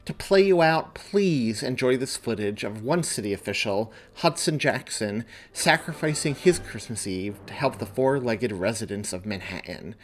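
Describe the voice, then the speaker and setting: A man voicing an earnest, stubborn young woman video journalist in an audiobook, with emphatic, deliberate delivery. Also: medium pitch, lively intonation, close to an everyday voice. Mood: earnest, presenting. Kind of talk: audiobook character dialogue.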